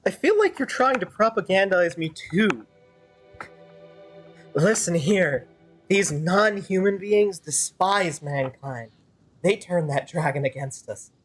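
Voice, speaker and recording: Low-voiced, wry woman game streamer. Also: animated, slow pace, soft background music. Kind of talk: casual live gameplay commentary with reactions.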